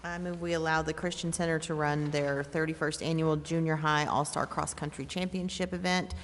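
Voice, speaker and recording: A woman with a notably low voice formally reads a motion at a council meeting. Long reverb surrounds her, along with faint noise. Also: steady tone, moderate pace. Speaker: council member. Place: large indoor council chamber.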